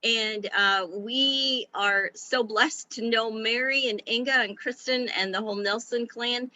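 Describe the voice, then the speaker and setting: A woman's voice: on the high side, fairly even intonation, measured pace, pushed and bright, highs cut off. A woman deacon from Minnesota, effusive and cheerful as she introduces herself on a video call. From Minnesota, USA.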